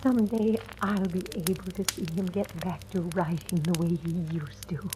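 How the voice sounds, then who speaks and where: A woman's voice: lowish voice, steady tone, normal speed, lightly acted. An actress playing a blunt, bitter, resigned household woman in old-time radio drama style. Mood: resigned, hushed. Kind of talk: 1940s-50s radio drama acting. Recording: old radio recording, faint noise.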